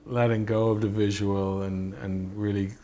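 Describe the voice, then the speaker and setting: A man reminiscing in a documentary interview as a filmmaker, in a halting, thoughtful way with frequent pauses. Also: on the low side. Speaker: film director and effects artist being interviewed. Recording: steady background noise.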